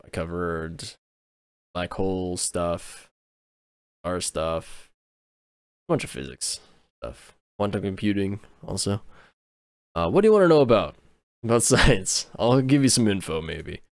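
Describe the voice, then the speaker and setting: A man's voice: deep voice, expressive, unhurried, clear, resonant tone, no echo, clean studio sound. A man with an American accent rambling casually to his viewers while livestreaming a game, often restarting and correcting himself. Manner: rambling, self-interrupting, chatting with viewers. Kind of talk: casual gaming livestream chat.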